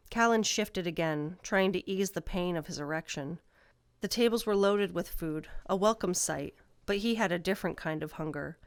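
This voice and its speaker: A woman's voice: lowish voice, clean tone, dry recording. A woman narrator reading a scripted fantasy story.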